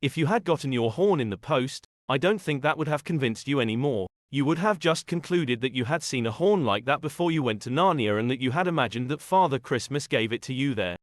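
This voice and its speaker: A man acting as a supportive, level-headed husband in an audio drama. He reasons persuasively in a mid-pitched voice. The sound is dry, with no room around it. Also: animated, fairly quick, hardly any pauses, dry recording, close to an everyday voice. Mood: reasoning, insistent.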